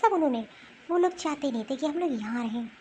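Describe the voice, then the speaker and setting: Woman playing a high-pitched scolding woman in a family cartoon. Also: expressive, fast talker, warm tone, slight background noise. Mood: hurt, bitter. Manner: scolding, indignant. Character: angry, sharp-tongued Indian women quarrelling in a family feud. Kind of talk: Hindi family-drama cartoon dialogue.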